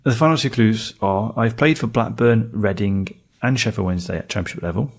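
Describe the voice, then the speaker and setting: A man's voice: lowish voice, a little fast, slightly rough. A man co-hosting a football podcast, trading banter and quiz clues in casual chat.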